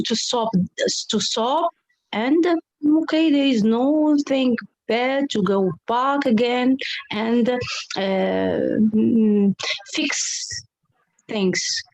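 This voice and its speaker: A woman's voice: mid-range voice, gravelly, a little mellow, no room sound, poor audio. A woman from Morocco, an English teacher speaking on an online round table, hesitant and full of fillers and restarts. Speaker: English teacher and club coordinator.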